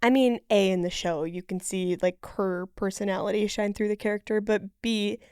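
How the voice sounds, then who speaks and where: A woman co-hosting a podcast, chatting casually in an informal, expressive way. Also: medium pitch, normal speed, clean tone, dry recording, studio quality. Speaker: podcast co-host.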